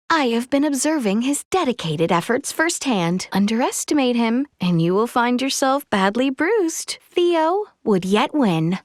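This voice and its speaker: A woman's voice: on the high side, no echo, studio quality. A woman playing an earnest, refined young princess in a video game, speaking with formal, archaic courtly politeness. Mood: confident. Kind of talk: video game voice acting, scripted dialogue.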